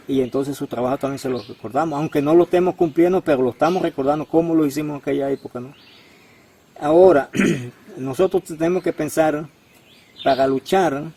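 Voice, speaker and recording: A man, a community activist, giving a testimonial interview in a halting way, with many restarts and tag questions. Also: a little high, mellow, slight background noise.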